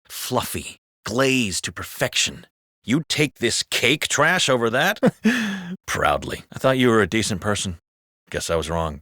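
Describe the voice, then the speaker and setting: An actor playing a jaded, foul-mouthed, sardonic police officer in a video game. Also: male voice, on the low side, pushed and bright, dry recording, studio quality, heavily acted. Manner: gossipy, sardonic, swearing. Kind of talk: scripted video game voice acting.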